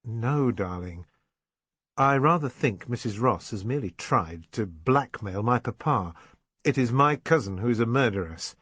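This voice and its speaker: A man voicing an anxious, intense young English gentleman in an audiobook novel, urgent and well-bred. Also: medium pitch, lively intonation, a little slow, breathy edge, no room sound. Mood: bitter, wry.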